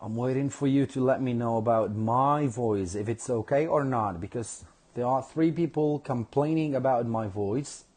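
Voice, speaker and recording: A man teaching a live online English lesson, speaking slowly and repeating phrases for his learners. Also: medium pitch, darkish tone, husky. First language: Kurdish.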